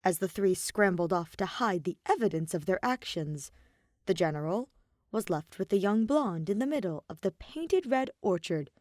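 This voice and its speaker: A woman reading fiction aloud as an expressive scripted storyteller. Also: mid-range voice, normal speed, no echo, studio quality. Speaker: podfic reader.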